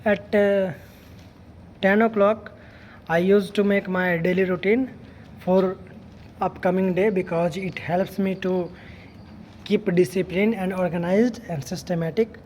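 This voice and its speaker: A young woman from Bihar, India, with an Indian English accent and Hindi as her first language, practicing spoken English in a halting, unscripted monologue full of fillers and restarts. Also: mid-range voice, fairly even intonation, a little mellow, a little hiss or hum. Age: young adult.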